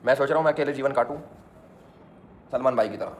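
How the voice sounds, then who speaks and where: A man's voice: mid-range voice, fast talker, slight background noise. A man, chatting and laughing with his mother in a casual vlog. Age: 20s. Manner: joking, laughs often, addresses viewers as brothers. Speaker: vlogger. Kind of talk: casual family vlog chat.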